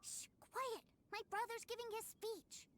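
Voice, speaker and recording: A woman's voice: very high-pitched, fairly even intonation, measured pace, a bit bright. A cheerful little girl. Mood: hushed. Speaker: game character.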